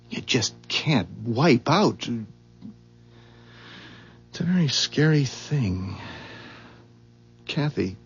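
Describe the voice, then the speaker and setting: Rattled, suspicious husband for vintage radio drama: husky, halting, emphatic, widely swinging pitch, dull tape sound. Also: male voice, exaggerated voice. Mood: agitated, uneasy. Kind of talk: 1970s radio drama acting. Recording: dull, muffled 1970s radio recording.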